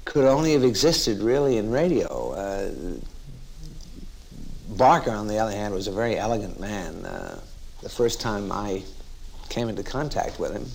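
A man telling anecdotes in a measured, reminiscing way, like a raconteur. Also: lowish voice, animated, gravelly, a little mellow, rough recording. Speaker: interviewee recalling colleagues in radio.

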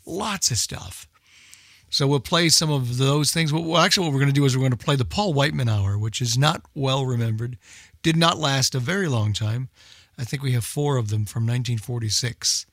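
A man's voice: mid-range voice, fairly quick, dry recording, clean studio sound. A man hosting a radio show, giving casual, rambling unscripted announcements in an informal way.

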